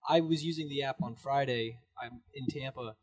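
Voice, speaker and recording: A man's voice: medium pitch, moderate pace, dry recording. A man guest on a podcast, earnestly explaining startup ideas in casual chat.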